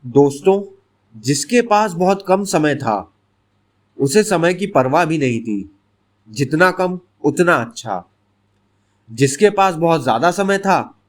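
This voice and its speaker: A man narrating a scripted bedtime story in an expressive, dramatic storytelling manner. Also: high voice.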